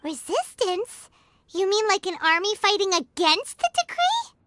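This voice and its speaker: A tiny, excitable female sprite for a game, squeaking at a very high pitch that leaps wildly up and down. She speaks of herself in the third person, bright and bubbly, drawing her words out slowly. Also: faint music behind, exaggerated voice. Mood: curious chirpy. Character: tiny floating female companion creature, excitable. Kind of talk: video game voice acting.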